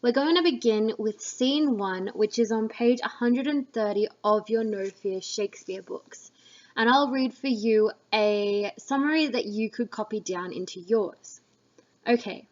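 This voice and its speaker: A woman teacher narrating a lesson summary in a measured, explanatory way. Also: on the high side, dull audio.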